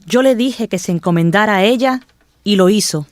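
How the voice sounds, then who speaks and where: A woman's voice: mid-range voice, normal speed, a little mellow, dry recording, close to an everyday voice. An actress playing a humble, devout village woman in a religious radio drama, speaking earnestly and gratefully. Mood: grateful relief.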